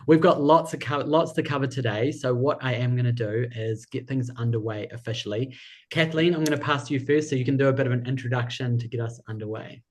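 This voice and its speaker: A man's voice: mid-range voice, quick pace. A man with a New Zealand accent hosting a live webinar, enthusiastic and reading out viewers' chat messages. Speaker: webinar host and business coach.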